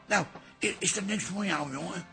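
Creaky, sharp, grumpy old man. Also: sits high, normal speed, soft background music, full character voice. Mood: wheedling, bossy. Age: older. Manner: blunt, wheedling, calls people boy. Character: grumpy, scheming old father, blunt and bossy. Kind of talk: radio comedy drama acting.

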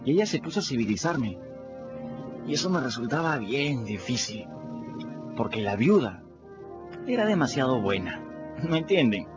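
An actor playing a plucky, rough-edged teenage river boy who tells his own story in a chatty, folksy way, talking straight to the listeners. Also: mid-range voice, sing-song, moderate pace, long pauses, lightly acted. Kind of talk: first-person radio play narration. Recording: dull radio recording with music behind.

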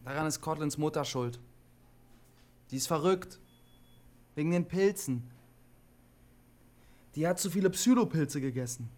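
A man's voice: a little high, unhurried, pauses often, a little mellow, natural voice. An actor playing a withdrawn, literal-minded CB radio operator in his twenties, matter-of-fact and pedantic, in a naturalistic radio drama. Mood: withdrawn, earnest. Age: 20s.

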